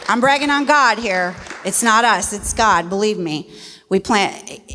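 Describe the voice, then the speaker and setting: A middle-aged woman from West Virginia, a missionary speaking to a church congregation in a folksy, animated way. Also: mid-range voice. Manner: folksy and animated, laughs easily. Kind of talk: church testimony talk. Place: church sanctuary. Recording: long reverb of a church hall.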